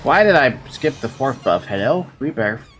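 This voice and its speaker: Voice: medium pitch, very animated, moderate pace, soft background music. A game streamer chatting casually and playfully with viewers in a rambling way while playing. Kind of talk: casual livestream chat over gameplay.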